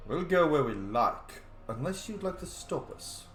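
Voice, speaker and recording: Playful gamer man, lively pitch, roomy echo with game music under him. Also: a little slow. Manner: playful, voices player lines aloud. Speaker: roleplay gamer. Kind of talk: casual roleplay playthrough.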